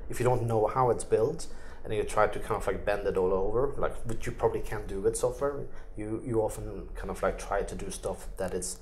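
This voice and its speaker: A man's voice: fairly low pitch, brisk, few pauses, darkish tone, slight background noise. A middle-aged man from Norway with a Norwegian accent, answering interview questions in loose, filler-heavy phrasing. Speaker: interviewee, tech executive.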